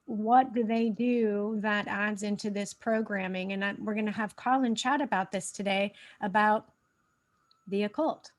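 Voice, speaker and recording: A woman's voice: medium pitch, fairly even intonation, unhurried. A woman hosting a casual spiritual livestream, a bit stuffy in the nose, rambling with many fillers and restarts. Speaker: host.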